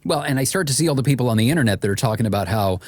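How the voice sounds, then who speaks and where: A man hosting a podcast, talking conversationally and plainly, leaning deliberately on key phrases. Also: mid-range voice, animated, darkish tone, a little hiss or hum. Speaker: podcast host.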